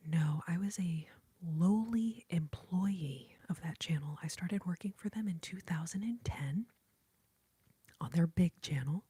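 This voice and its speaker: A woman hosting an ASMR livestream, talking to viewers in a soft, heartfelt whisper into a close microphone. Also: on the low side, a little slow, slightly rough, no echo.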